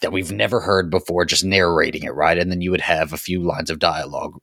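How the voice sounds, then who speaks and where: A man's voice: low voice, fairly even intonation, quick pace, a bit bright, dry recording, studio quality. A man co-hosting a podcast, talking casually and emphatically as he gushes in a fan discussion. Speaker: podcast host.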